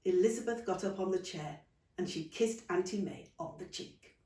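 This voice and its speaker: A woman author reading her own children's story in animated storybook narration. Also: medium pitch, normal speed. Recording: roomy, distant microphone.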